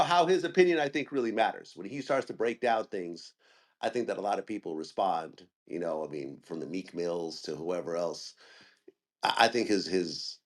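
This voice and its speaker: A man in his forties from Ukraine who grew up in Northern California, talking casually with frequent restarts and fillers. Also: on the low side. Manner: rambling, with many restarts and fillers. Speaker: guest interviewer and media host. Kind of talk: casual audio chat room conversation.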